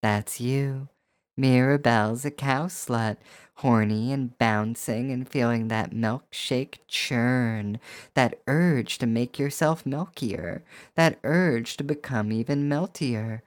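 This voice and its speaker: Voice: mid-range voice, slow pace, no room sound. An adult performer delivering a scripted erotic hypnosis monologue in a coaxing, teasing, rhythmic way, speaking straight to the listener. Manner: coaxing, teasing, with rhyming, repetitive phrasing.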